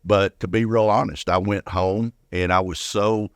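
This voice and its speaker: A man's voice: on the low side, fairly even intonation, unhurried, no room sound. An older man speaking as an interview guest, telling personal stories casually and often repeating words as he goes. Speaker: guest, retired firefighter.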